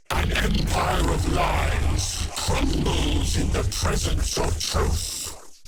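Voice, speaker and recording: An actor playing a grandiose, sadistic sorcerer lord in a video game, speaking in a theatrical, mocking, archly formal and menacing way. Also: male voice, high voice, very noisy, full character voice. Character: grandiose, sadistic villain sorcerer lord serving a dark god. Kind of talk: dramatic video game villain acting. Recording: loud music behind the voice. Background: loud music.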